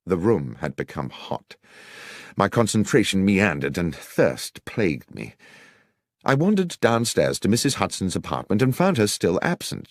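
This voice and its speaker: British man narrating, lively range, low pitch. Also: no room sound, studio quality. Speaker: audiobook narrator. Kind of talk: scripted first-person story narration.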